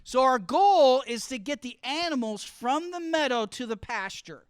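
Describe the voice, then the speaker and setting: A man giving a lively teaching talk to students, often asking the room questions as he explains. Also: very high voice, measured pace, clean tone, dry recording, studio quality. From grew up partly in Costa Rica. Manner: asks the audience questions, explains with extended metaphor. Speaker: college speaker teaching study skills. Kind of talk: interactive classroom talk.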